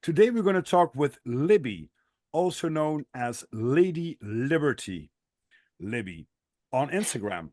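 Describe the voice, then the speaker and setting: A man's voice: on the high side, big pitch swings, a little slow, darkish tone, no room sound. A man hosting a podcast, introducing things in a conversational style. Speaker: podcast host.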